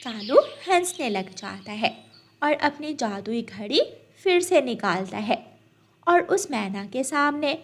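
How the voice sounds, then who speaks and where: A woman with a high voice narrates a children's story from a script. She is the storyteller linking the scenes. There is faint noise behind her voice. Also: animated, slow.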